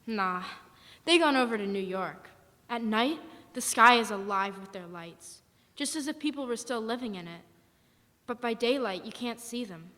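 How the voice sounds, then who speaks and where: A woman's voice: fairly high pitch, lively intonation, moderate pace, fairly bright tone. Woman acting a defiant survivor in an echoing hall. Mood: bitter, resigned. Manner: blunt, folksy, emphatic survivalist talk. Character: defiant, wary militia survivor woman with a knife. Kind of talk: live stage radio drama acting. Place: church hall stage, live performance. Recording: echoey hall, live performance.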